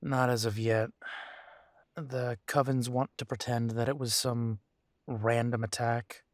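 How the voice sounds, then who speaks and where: A man's voice: mid-range voice, little pitch movement, measured pace, dry recording, clean studio sound, close to an everyday voice. A man playing a protective new werewolf pack leader in an audio roleplay, speaking earnestly and with some hesitation. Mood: concerned.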